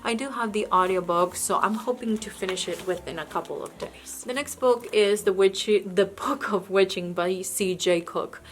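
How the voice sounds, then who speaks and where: A woman's voice: medium pitch, normal speed, few pauses, a little hiss or hum. A woman who speaks English as a second language, chatting casually about books she bought, with frequent restarts and hesitations. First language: not English. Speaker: book vlogger. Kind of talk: casual book haul chat.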